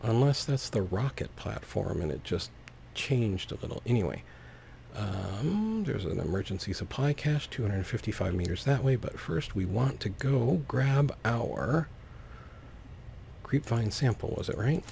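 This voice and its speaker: A man's voice: medium pitch, very animated, unhurried, creaky, a little mellow, a little hiss or hum. A man giving casual gameplay commentary, thinking aloud in a whimsical, musing way. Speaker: let's player, host.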